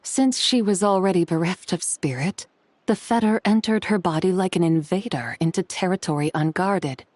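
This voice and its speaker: A woman playing a composed, courteous scholarly lady in a video game, speaking in a formal, measured, old-world manner. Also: on the low side, no echo, close to an everyday voice. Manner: formal, measured, archaic phrasing.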